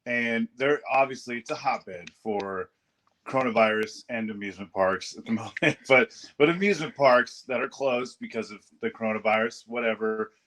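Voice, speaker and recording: A man's voice: medium pitch, moderate pace, fairly bright tone, slight background noise, rough recording. A man from Southern California hosting a podcast over a video call, talking in a laid-back, slangy way with lots of "dude.". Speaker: podcast host.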